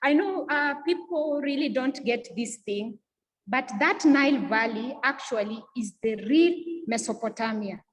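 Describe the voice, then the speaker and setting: A high-voiced woman speaks earnestly and with insistence, often circling back over her own words. Her voice rings out with a long reverb, as if she were in a large hall. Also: animated, a little slow. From East Africa. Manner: earnest, repeats words and restarts, emphatic. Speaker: speaker giving a talk on history. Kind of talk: impassioned informal lecture.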